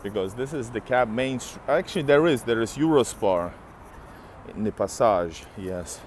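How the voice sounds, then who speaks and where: A man's voice: mid-range voice, expressive, moderate pace, slight background noise. A man from Finland, native in Russian, chatting casually with viewers on a live stream while walking outdoors. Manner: chatty, greets viewers by name. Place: city street outdoors.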